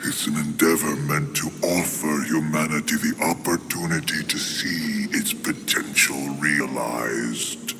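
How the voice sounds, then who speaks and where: An actor playing an ancient, solemn demonic lord in a video game, speaking in grave, formal pronouncements with a gravelly voice. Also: male voice, a bass voice, fairly even intonation, sharp tone, a little hiss or hum, full character voice. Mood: resolute. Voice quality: gravelly, cavernous. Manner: slow, grave, formal pronouncements with dramatic pauses. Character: ancient solemn Lord of Hollows, demonic soul-gathering being. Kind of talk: dramatic video game acting.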